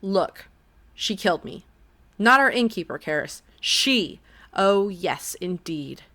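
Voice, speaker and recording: A woman's voice: mid-range voice, expressive, slow, sharp tone, exaggerated voice. A woman voicing a crude, whiny dead man. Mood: whiny indignant. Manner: whiny, pathetic, swearing.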